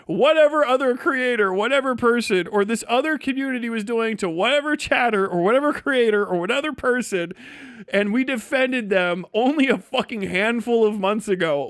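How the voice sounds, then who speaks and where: A man's voice: extremely high pitch, a bit bright, dry recording, clean studio sound. A man doing casual livestream commentary, ranting in a profane, sarcastic and exasperated way. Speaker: livestream host reacting to other streamers.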